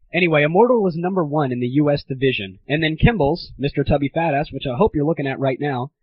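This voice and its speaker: A man's voice: on the high side, fairly quick, slight background noise. A teenage man hosting a casual comedy monologue on a gaming audio show, irreverent, crude and mock-grandiose, on a lo-fi recording. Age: teens. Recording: dull lo-fi 1990s internet audio.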